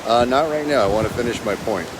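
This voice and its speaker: A man's voice: mid-range voice, fast. Man talking on a windy beach. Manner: earnest, rambling with fillers. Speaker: real estate agent vlogger. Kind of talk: casual motivational talk. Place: beach at sunrise, outdoors. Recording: heavy wind and surf noise. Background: waves and wind.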